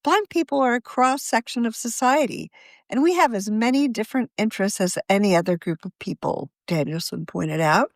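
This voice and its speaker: A woman, a magazine's deputy editor, reading her own article aloud in a measured, scripted narration. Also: fairly high pitch, no echo, studio quality.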